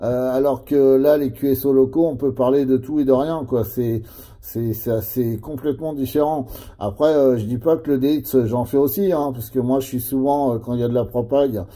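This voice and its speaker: A man of average pitch with a deep, dark, mellow tone. He chats over CB radio and explains things at length, hesitating often. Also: steady tone, moderate pace. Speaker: CB radio operator. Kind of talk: casual radio chat.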